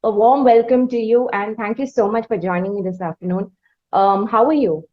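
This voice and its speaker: A woman's voice: mid-range voice, normal speed, dull audio. A woman with an Indian accent hosting a music interview, speaking in an effusive, wordy, roundabout conversational way. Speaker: interviewer, podcast host.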